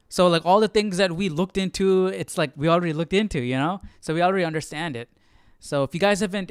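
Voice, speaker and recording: A man's voice: on the high side, smooth, dry recording, clean studio sound. A man hosting a live Bible study, talking informally and thinking aloud, often checking in with "right?". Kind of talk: casual, conversational livestream commentary.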